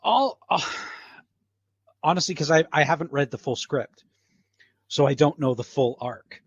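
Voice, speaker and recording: A man's voice: a little high, lively intonation, measured pace, slightly rough, dry recording. A man in a casual podcast chat as an interview guest, talking in a chatty way, trailing off and rephrasing with frequent "like". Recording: slightly dull, dry close recording.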